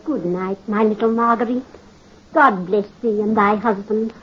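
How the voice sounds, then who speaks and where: A woman's voice: medium pitch, normal speed, close to an everyday voice. An actress playing an older mother, tender and easily frightened, in a 1930s radio drama. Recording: muffled 1930s radio recording, faint hiss.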